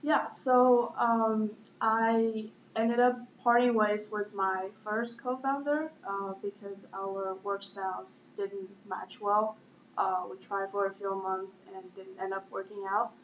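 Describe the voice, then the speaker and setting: A woman's voice: mid-range voice, steady tone, takes it slowly, slight background noise. A woman in her twenties with a Chinese accent gives conversational interview answers as a founder. She is recorded in an echoey room, the sound a bit dull. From Shanghai, China. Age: 20s. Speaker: startup founder being interviewed.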